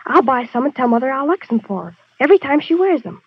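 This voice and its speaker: An eager young niece. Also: female voice, high-pitched, big pitch swings, fast talker, slightly rough, full character voice. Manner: eager and earnest. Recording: dull old radio broadcast.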